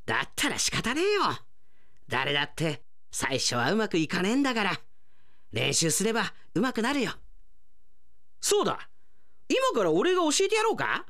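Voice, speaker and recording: A man acting in a radio drama as a gruff, friendly izakaya owner with rough, colloquial speech and an encouraging, easygoing manner. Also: high voice, huge pitch swings, normal speed, long pauses, bright, forceful tone, full character voice.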